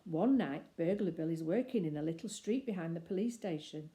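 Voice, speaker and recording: A woman reading a children's story aloud expressively, with deliberate phrasing and emphatic pauses. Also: lowish voice, animated, dark tone.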